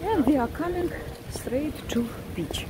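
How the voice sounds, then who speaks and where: Older woman, heavy Russian accent, lively up-and-down delivery, casual vlog into a phone by the sea, wind on the mic. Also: high-pitched, measured pace. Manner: chatty, repeats words for emphasis. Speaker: vlogger, psychiatrist. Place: outdoors by a windy beach. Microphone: phone at arm's length.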